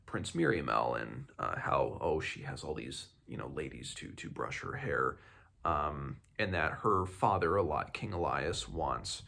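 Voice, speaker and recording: Deep-voiced man with a gravelly edge. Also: big pitch swings, sharp tone. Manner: halting, thinking aloud. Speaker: host of a book read-along. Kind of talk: casual book discussion.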